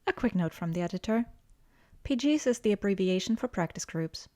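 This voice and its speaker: A woman reading a scripted podcast introduction in a clear, steady way. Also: medium pitch, a little fast. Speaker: podcast narrator. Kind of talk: scripted narration. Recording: faint music behind.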